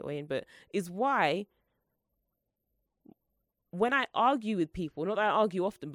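A woman's voice: fairly low pitch, no echo, clean studio sound. A young woman hosting her own solo podcast, chatting in a rambling, playful and self-mocking way, her voice congested from the flu. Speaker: podcast host.

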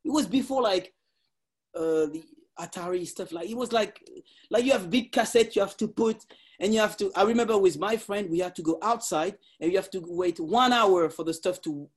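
A man in his forties with a notably high, light voice, talking in loose, animated English. He laughs often and reaches for words as he goes. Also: normal speed, slightly rough. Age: 40s. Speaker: parkour practitioner. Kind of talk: casual conversation.

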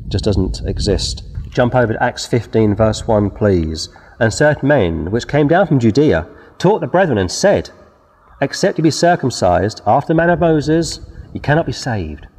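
A man preaching outdoors with a dark, mellow voice. He reads scripture and drives his warnings home with emphasis. His words trail off into a long, ringing echo. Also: medium pitch, very animated, normal speed, breathy edge. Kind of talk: outdoor sermon. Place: outdoors before scenery.

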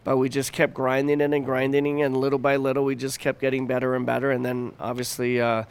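Clear-voiced man in his fifties, casual. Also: mid-range voice, completely flat delivery, quick pace. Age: 50s. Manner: informal, slangy. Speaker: chef and restaurant owner, interview guest. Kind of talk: casual conversational interview. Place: downtown Denver venue.

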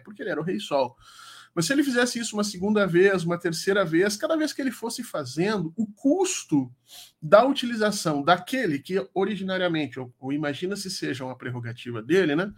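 A man's voice: fairly high pitch, husky. A man with a cold, speaking as a political commentator and teacher. He explains at length, with many fillers and restarts. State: congested with a cold. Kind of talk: casual live show commentary.

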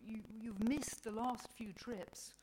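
A flustered, sorrowful God, acted out by a woman stammers earnestly through storybook dialogue. The delivery is hesitant and well-meaning, pushed up in pitch, with noise on the recording. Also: steady tone, takes it slowly, dark tone. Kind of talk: dramatic reading of satirical storybook dialogue. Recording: clearly noisy live recording.

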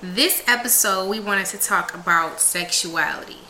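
A woman's voice: medium pitch, normal speed, pushed and bright, roomy sound, slight background noise, rough recording. A woman in her twenties from South Carolina, hosting a podcast in a casual, upbeat, encouraging way. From South Carolina, USA. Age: 20s. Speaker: podcast host.

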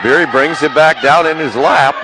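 A man's voice: on the high side, moderate pace. A man giving live radio sports commentary from a school gymnasium, describing moves in short, technical fragments. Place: high school gymnasium during a live meet. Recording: very noisy live gymnasium crowd recording. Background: loud crowd and gym noise.

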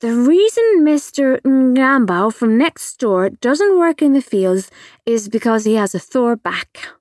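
A woman's voice: fairly high pitch, very animated, slow pace, darkish tone, no echo, clean studio sound. A woman voicing a chatty, blunt little girl of about five in an audiobook, full of childlike questions. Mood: matter-of-fact. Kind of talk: audiobook character voice.

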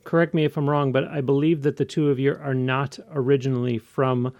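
A man's voice: mid-range voice, flat delivery, fairly quick. A man hosting an interview, polite and affirming as he asks questions. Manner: polite, affirming, says "I see" often. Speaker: podcast host. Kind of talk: interview host. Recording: dull lo-fi audio.

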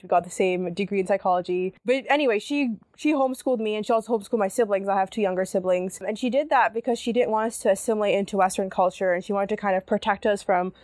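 A woman's voice: mid-range voice, darkish tone, no echo. A young woman guest telling stories from her childhood in a casual, chatty way, using many "like" and "you know" fillers. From United States. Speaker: guest, student activist. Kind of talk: casual conversational storytelling.

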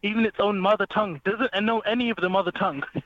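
A man's voice: high-pitched, fast. A man speaking as a guest on a radio show, explaining at length in an earnest, fluent way. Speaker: phone-in guest. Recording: dull phone-line sound with faint hiss.

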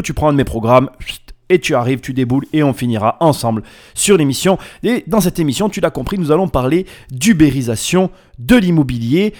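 A man's voice: medium pitch, darkish tone. A man hosting a solo podcast in an informal, playful and emphatic way, often wandering off on tangents. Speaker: podcast host. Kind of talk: casual solo podcast monologue.

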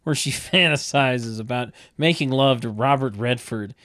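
A narrator reading stage directions in a deadpan, wry, mock-literary comic style. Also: mid-range voice, normal speed, no room sound, clean studio sound. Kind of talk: deadpan comedic script narration.

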